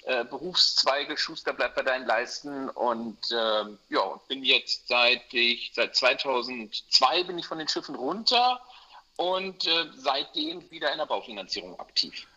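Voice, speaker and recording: A man in his forties from Berlin, a mortgage broker talking in a relaxed interview, chatty with many fillers and restarts. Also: mid-range voice, unhurried. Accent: Berlin German. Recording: muffled, lo-fi sound.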